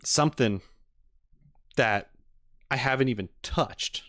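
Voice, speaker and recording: A man hosting a livestream, chatting casually and playfully with viewers, rambling and often swinging up into high-pitched emphasis. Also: slow, a bit bright, dry recording, clean studio sound. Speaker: streamer and reaction video host.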